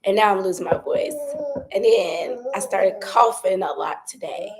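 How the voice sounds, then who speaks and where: Young woman, hoarse and raspy from a cold, soft-spoken, casual live chat, phone mic, patio outdoors. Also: mid-range voice, expressive, normal speed, crowd noise. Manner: talks softly, holding back her voice. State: hoarse, losing her voice from a cold. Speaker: vlogger doing a live stream. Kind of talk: casual chatty live update. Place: outdoors by her patio at home.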